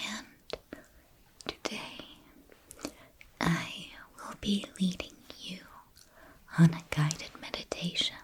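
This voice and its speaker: A woman whispering a guided ASMR meditation, speaking gently and soothingly in measured phrases with long pauses. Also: lowish voice.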